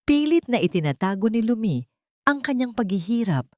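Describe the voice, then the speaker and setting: A Filipino woman reading a scripted dramatic story in a measured, solemn, literary manner. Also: fairly high pitch, sing-song, a little mellow, no room sound, clean studio sound.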